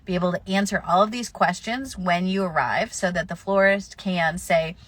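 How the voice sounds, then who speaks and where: A woman's voice: lowish voice, normal speed, a bit bright, dry recording. Woman with a cold giving casual advice. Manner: practical, conversational, many fillers. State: slightly stuffy with a cold. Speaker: florist answering viewer questions.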